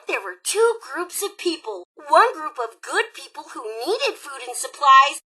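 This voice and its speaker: A woman's voice: very high-pitched, pushed and bright, full character voice, rough recording. A woman playing a sassy, erratic female raider robot who DJs on the radio in a video game, with a sardonic, theatrical delivery. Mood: mock-sweet, vicious. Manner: sardonic, theatrical, erratic swings from muttering to shrieking.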